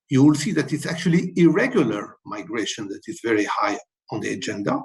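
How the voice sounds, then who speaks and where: A man from Morocco speaking as a webinar panelist, setting out his points in a measured way with many fillers and restarts. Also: mid-range voice. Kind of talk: policy talk, numbered key messages.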